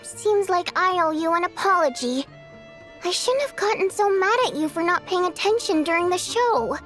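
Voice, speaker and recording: A female Fae performer, very high voice, lively intonation, chatty, probing, game dialogue, music underneath. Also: normal speed. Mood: polite, apologetic. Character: Miss Vodyanica, female Fae theater performer, socially concerned, inquisitive. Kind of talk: video game voice acting.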